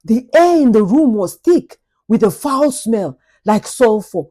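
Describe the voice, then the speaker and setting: Mellow-voiced woman narrating a dramatic folktale. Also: fairly high pitch, big pitch swings, unhurried. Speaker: storyteller.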